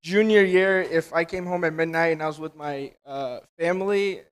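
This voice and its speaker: A man's voice: on the high side, normal speed, clear, resonant tone. An eighteen-year-old man speaking casually as a panelist, with fillers like "you know what I mean". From Albanian household.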